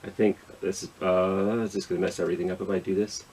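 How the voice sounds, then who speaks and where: A man's voice: deep voice, little pitch movement, quick pace, darkish tone, faint music behind. A man chatting casually to young children as a storytime host, informal and self-deprecating with rambling asides.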